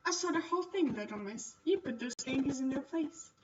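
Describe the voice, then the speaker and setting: Woman as kindly gentleman noble, visual novel, gallant, reassuring, a bit muffled. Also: sits high, lively intonation, normal speed, distant mic. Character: courteous, kindly nobleman, a French Marquise. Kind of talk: visual novel character reading.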